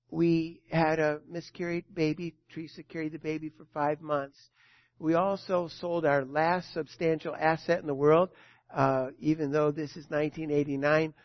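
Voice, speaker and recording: A man in his sixties preaching as a pastor, telling stories with dramatic swells and hushed drops. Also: on the high side, no room sound. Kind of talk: sermon. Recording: dull, dry sound.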